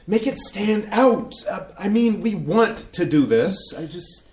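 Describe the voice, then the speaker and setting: A man's voice: high-pitched, animated, slow, a crowd in the background. A man playing a hip, ironic young city man in a scripted staged reading. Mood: casual, hedging. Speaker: actor in a staged play reading. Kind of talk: scripted community theatre acting. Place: indoor playhouse staged reading. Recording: echoey room with background noise.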